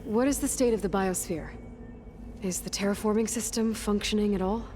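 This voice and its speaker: An actress playing a young, inquisitive, determined hunter in a video game, speaking in a direct, conversational way. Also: female voice, medium pitch, brisk, a little mellow, faint music behind, natural voice. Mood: concerned. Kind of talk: scripted video game dialogue.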